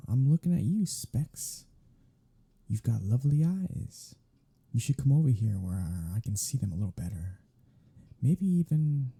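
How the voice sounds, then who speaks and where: Guy acting as a sarcastic vampire prisoner, dark raspy voice, flirty and defiant, audio roleplay. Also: mid-range voice, heavily acted. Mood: teasing, flirtatious. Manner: sarcastic, drawling, theatrical. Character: captive male vampire, snarky, taunting, flirtatious, starving but defiant. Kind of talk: scripted audio roleplay monologue.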